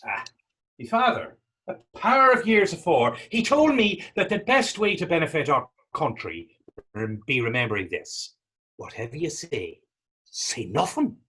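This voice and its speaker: A man playing Tommy, a talkative, gentle and wry Irish former fisherman, in staged readers theater dialogue with a folksy, halting storytelling lilt. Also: on the high side, very animated, husky, exaggerated voice. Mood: confiding.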